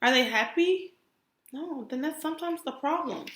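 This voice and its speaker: A woman's voice: sits high, normal speed, fairly bright tone, reverberant, a little hiss or hum. A woman teacher reading a children's story aloud expressively, stopping to put questions to young listeners. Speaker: kindergarten teacher.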